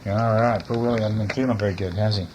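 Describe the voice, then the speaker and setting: Gentle father talking low-key, faintly noisy recording. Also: steady tone, racing, muffled recording. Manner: gentle, playful, asks tag questions. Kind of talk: casual chat and bedtime story reading to a child. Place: at home, lying down beside a child. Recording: noisy amateur home recording.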